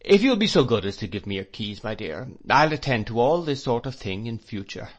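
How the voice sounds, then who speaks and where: A man's voice: mid-range voice, sing-song, a little fast, muffled recording. A man voicing a severe, controlling spinster who talks down to her sister-in-law. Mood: patronizing. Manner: patronizing, cold.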